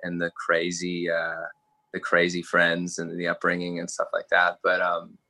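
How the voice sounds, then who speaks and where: A young man with an Australian accent talks in a relaxed, rambling way, often breaking into laughter. His voice sits low but carries a bright, forward edge. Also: a little slow. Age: 20s. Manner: playful, laughing, loose and rambling. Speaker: guest. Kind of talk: casual podcast chat.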